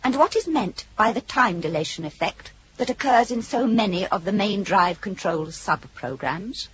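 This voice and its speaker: A woman's voice: mid-range voice, animated, fairly bright tone, close to an everyday voice. Woman voicing thoughtful haughty ship computer, swooping intonation, muffled old radio play. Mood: pensive. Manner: measured, philosophical. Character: musing, superior female ship's guardian computer. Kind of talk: 1980s British radio drama acting. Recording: dull old radio recording, faint noise.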